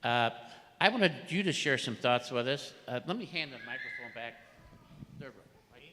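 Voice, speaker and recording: A man's voice: medium pitch, animated, normal speed. A man moderating a citizenship ceremony, asking guests friendly, slightly hesitant questions. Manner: friendly, hesitant questioning, moves between mic and room. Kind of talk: guiding questions at a public event. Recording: hall echo with crowd murmur.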